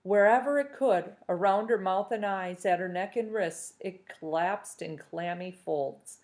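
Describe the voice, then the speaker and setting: A woman reading a novel aloud chapter by chapter, with steady storytelling. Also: medium pitch, measured pace.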